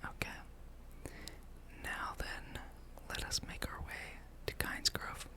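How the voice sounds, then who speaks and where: Whispering ASMR gamer, breathy and unvoiced. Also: slow, pushed and bright. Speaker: gaming ASMR host. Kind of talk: whispered ASMR gameplay ramble.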